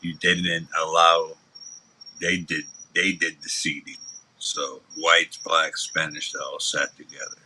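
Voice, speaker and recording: A middle-aged man with a very low voice and a hard, very bright edge talks casually and plainly in slang. His delivery stays flat. He is outdoors on a patio, with crickets chirping around him. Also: unhurried, a little hiss or hum, low-quality recording. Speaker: panelist, former gang member recounting prison. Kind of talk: casual chat.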